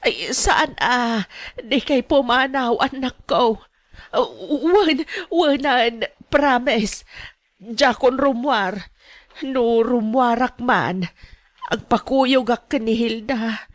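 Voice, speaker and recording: A woman's voice: a little high, drawn-out words. A woman acting in a radio drama as a meek, pleading elderly gambling mother, with a hesitant, stammering delivery and a Filipino Ilocano accent. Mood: contrite, pleading.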